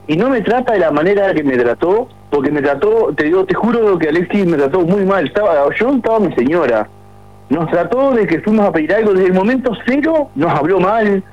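Aggrieved Uruguayan man calling in on a muffled line. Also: high-pitched. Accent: Uruguayan Spanish. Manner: aggrieved, rambling with many restarts. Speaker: caller. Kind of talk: radio call-in complaint. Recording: muffled phone line on radio.

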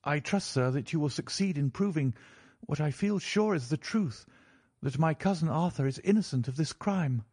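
A man voicing an earnest, gentle young lady with a polite, pleading manner in a storybook reading. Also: fairly high pitch, expressive, normal speed, slightly rough, no echo, clean studio sound, pitched above the performer's own voice. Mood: earnest, hopeful.

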